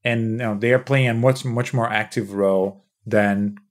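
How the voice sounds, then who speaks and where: A man's voice: lowish voice, steady tone, moderate pace. A man in his thirties from Brazil with a Brazilian Portuguese accent, explaining at length in a podcast interview, with frequent restarts. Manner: explanatory, many restarts and "you know". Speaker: startup founder and CEO.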